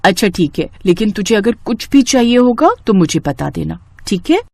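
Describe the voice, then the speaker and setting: A woman's voice: mid-range voice, animated, moderate pace, creaky, a little mellow, no echo, clean studio sound. A woman voicing a caring, doting elder sister in a story cartoon, affectionate and concerned in manner. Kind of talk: Hindi moral-story cartoon dialogue.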